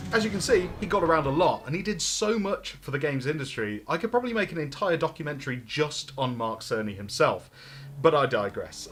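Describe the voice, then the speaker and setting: A man presenting a retro game review in scripted voice-over, conversational with lightly wry asides. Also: mid-range voice. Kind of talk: scripted narration over video. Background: music.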